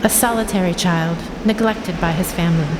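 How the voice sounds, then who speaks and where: A woman playing a solemn, commanding spirit in a radio drama, speaking in a stately, formal, deliberate way. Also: lowish voice, very noisy, close to an everyday voice. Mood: probing, solemn. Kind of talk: theatrical radio drama acting. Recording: long cavernous reverb. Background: music.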